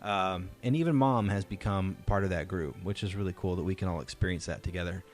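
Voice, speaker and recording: A middle-aged man with a lowish voice hosts a relaxed family podcast. He talks casually and encourages his co-hosts. Faint music sits behind him. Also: a little fast. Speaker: podcast host.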